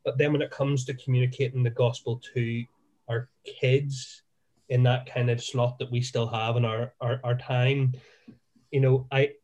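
A man's voice: mid-range voice, level tone, moderate pace, a little mellow, muffled recording. A man with a Northern Irish accent, a minister co-hosting a podcast, talking in a reflective, self-deprecating and rambling way. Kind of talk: casual podcast chat.

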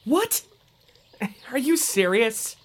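Man as earnest young traveler, very animated pitch, unhurried delivery, wide-eyed, audio drama. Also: heavily acted. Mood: curious, amazed. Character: earnest, excitable, hesitant young male traveler. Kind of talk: scripted audio drama acting.

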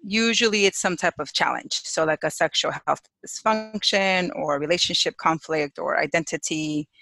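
Woman from Chicago, bright tense voice, dry close sound, laughs often, pauses with fillers. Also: sits low, gravelly, no echo. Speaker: guest, clinical psychologist and sex therapist. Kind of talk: casual interview chat. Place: home office in Hawaii.

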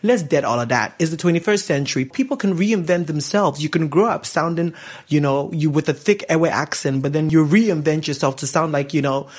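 A man's voice: a little high, a little fast, breathy edge. A man from Ghana hosting a vlog, chatting casually to camera with lots of laughing asides. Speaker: vlog host.

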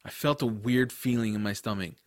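A man playing a casual, excitable boy hero, telling a fantasy adventure in the first person. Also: lowish voice, brisk, no echo, clean studio sound, natural voice. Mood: narrating. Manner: casual, boyish phrasing. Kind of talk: first-person audiobook narration and drama.

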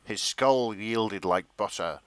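A man with a theatrical, expressive delivery, co-hosting and narrating a comic dramatic reading with casual chat. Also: on the low side, slow pace, no room sound. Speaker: narrator and co-host.

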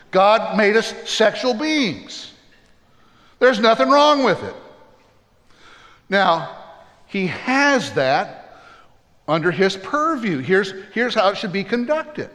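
A man's voice: high voice, big pitch swings, slow pace, long pauses, fairly bright tone, long reverb tail. A man preaching a sermon as pastor, earnest and plainspoken, with folksy humorous asides.

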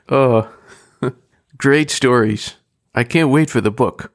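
A male host, relaxed and quick to laugh, chatting with his guest. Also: mid-range voice, no room sound, clean studio sound. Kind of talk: casual interview chat.

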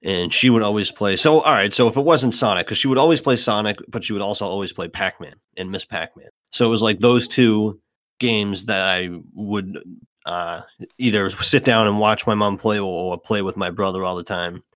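Male guest, very deep voice, dry close sound, halting easy talk. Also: a bit bright, dry recording. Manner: hesitant, lots of fillers. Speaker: podcast co-host. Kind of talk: casual chat.

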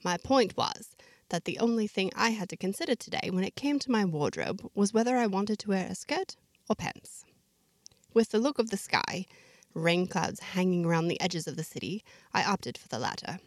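A woman's voice: medium pitch, lively intonation, normal speed, dry recording, studio quality. A woman narrating a serialized fantasy story in the first person, in a chatty, diary-like way with asides to the listener. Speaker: narrator of a serialized fiction podcast.

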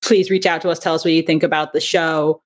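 A woman's voice: low-pitched, fairly even intonation, fast. A young adult woman chatting casually as an interview guest, with a giggly, talkative manner. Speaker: interview guest, audio drama writer and director.

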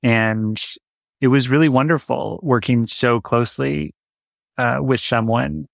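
A man's voice: mid-range voice, a little slow, gravelly, no echo. Man reflecting in conversation, dry sound. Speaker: interview guest, audio drama writer. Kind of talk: conversational interview answer.

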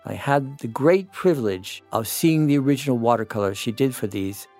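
Older male, American accent: informal, enthusiastic explainer with lively, varied intonation. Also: mid-range voice, normal speed, faint music behind. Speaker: retired priest, storyteller. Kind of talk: informal personal commentary to listeners.

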